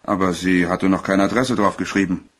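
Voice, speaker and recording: An actor playing a plain-spoken, slightly guarded shipping clerk in a crime radio play, answering matter-of-factly. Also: male voice, low-pitched, steady tone, brisk.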